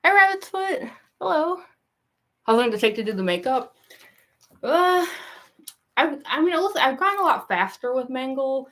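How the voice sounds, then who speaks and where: A young woman with a gruff, raspy voice and a goofy laugh, chatting casually with viewers on a livestream, rambling with lots of fillers. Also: sits high, sing-song, moderate pace, long pauses, fairly bright tone. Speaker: cosplay livestreamer.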